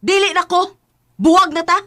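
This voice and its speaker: A woman's voice: very high-pitched, moderate pace, very noisy. A woman playing a young girlfriend who presses her partner for answers in an amateur radio drama. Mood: upset.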